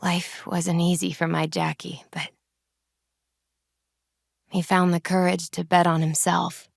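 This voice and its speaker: A woman playing a gentle, spiritual young tarot reader in a video game, soft-spoken, unhurried and often hushed. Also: on the low side, little pitch movement, vocal fry, dry recording, clean studio sound, heavily acted. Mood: reflective.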